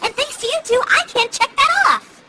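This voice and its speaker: A woman's voice: very high voice, lively intonation, moderate pace, very noisy. A woman voicing an earnest, bookish young female pony in a cartoon, precise and a little fretful in manner. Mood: exasperated. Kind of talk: cartoon voice acting. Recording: loud music under the voice.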